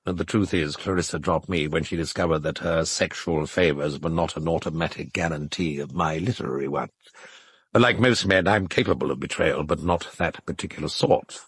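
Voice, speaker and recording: Very deep, husky, cynical literary gentleman. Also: no echo, full character voice. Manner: dry, articulate, knowing, measured phrasing. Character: cynical, urbane male literary critic and former lover. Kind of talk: naturalistic audio drama dialogue.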